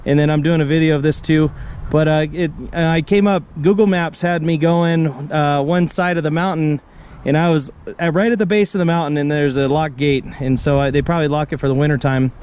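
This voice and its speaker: A man's voice: on the high side, fairly even intonation, a little fast, darkish tone. A middle-aged American man chatting casually as a radio operator, repeating phrases so they get across. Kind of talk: casual radio chat while demonstrating gear. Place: outdoor picnic table on a wooded mountain. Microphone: camera on the table nearby. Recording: outdoor camera audio with clear noise.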